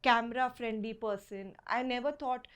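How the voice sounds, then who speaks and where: A young woman with a South Indian Kannada accent talks in a loose, rambling way, her voice sitting a little high. She keeps dropping "like" and "you know" as she tells her story in a casual podcast chat. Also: steady tone, normal speed, a bit bright, clear, resonant tone. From Karnataka, India. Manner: chatty, many "like" and "you know" fillers. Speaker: guest photographer.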